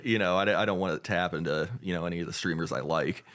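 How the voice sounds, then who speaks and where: A man with a somewhat low voice talks loosely on a casual podcast. He wanders through his points, stalling on fillers and stumbling over restarts as he goes. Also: a bit bright. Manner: rambling, halting, many fillers and restarts. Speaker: podcast co-host. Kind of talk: casual chat.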